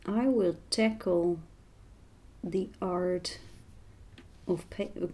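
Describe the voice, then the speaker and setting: A woman with a slightly hoarse voice, talking gently and unhurriedly as she narrates a painting tutorial, sometimes dropping to a near whisper. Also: fairly low pitch, steady tone. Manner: soft-spoken, unhurried, drifting into quiet near-whispers. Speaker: art tutorial host and voice teacher.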